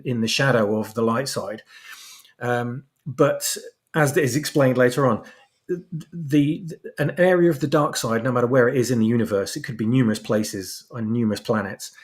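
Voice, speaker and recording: A man talking as an interview guest and writer, explaining his ideas thoughtfully and searching for the right words. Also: mid-range voice. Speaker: guest, fan fiction writer.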